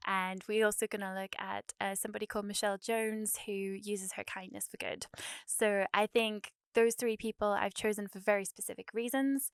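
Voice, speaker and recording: A woman in her thirties hosts a podcast from a studio, her mid-pitched voice smooth and clear. She talks casually and chattily, dotting her speech with ums and uhs. The sound is close and dry. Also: no room sound. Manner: warm, filler-heavy, chatty. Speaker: podcast host. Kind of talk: casual podcast chat with scripted intro.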